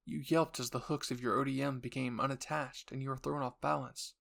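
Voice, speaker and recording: A man's voice: medium pitch, steady tone, brisk, gravelly, a bit bright. A man reading scripted second-person story narration for a roleplay. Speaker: narrator and voice actor.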